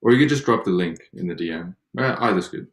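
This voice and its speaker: A man's voice: sits low, lively intonation, fast talker. A man chatting casually and informally on a video call, asking practical questions of the group. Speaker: participant in a virtual staged reading. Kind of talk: casual chat. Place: indoor room, remote video call. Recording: echoey room on video call.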